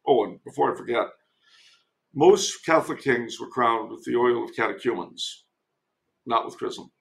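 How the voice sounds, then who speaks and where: A man's voice: mid-range voice, normal speed, vocal fry, a bit bright, rough recording. A man giving casual historical commentary as a podcast guest, digressive and given to wry asides.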